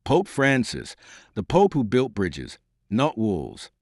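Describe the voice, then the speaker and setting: A man reading a scripted documentary tribute in a solemn, reverent and measured rhetorical way. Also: on the low side, wildly swooping pitch, dry recording, clean studio sound. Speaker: narrator.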